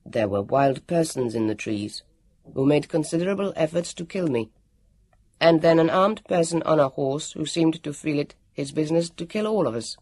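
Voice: medium pitch, lively intonation, moderate pace, a little mellow, no echo. Narrator doing a stiff, solemn formal man. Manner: stiff and precise, no contractions.

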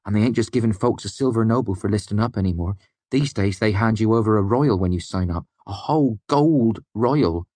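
A man voicing an eager sixteen-year-old smith's apprentice, earnest and quick to bristle when called a boy. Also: on the low side, steady tone, dry recording, voice pushed up, close to an everyday voice. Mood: excited. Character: teenage male smith's apprentice, eager, defensive.

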